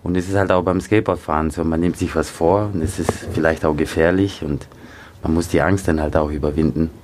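A man with a very low voice answers interview questions in a halting, relaxed way, filling his pauses with small sounds. He grew up in Nigeria. A faint ambient hiss sits behind him. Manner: hesitant, many fillers. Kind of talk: casual interview.

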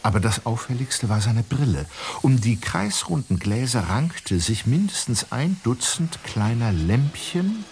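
A man narrating a German detective radio play as an expressive, measured storyteller. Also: mid-range voice, slight background noise. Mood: descriptive, intrigued.

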